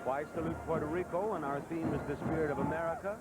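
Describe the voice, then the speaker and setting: A man reading scripted descriptions of parade floats in a steady manner. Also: on the high side, fast talker, loud music behind the voice. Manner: steady, reads lists of flower names. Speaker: parade commentator. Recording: noisy outdoor broadcast.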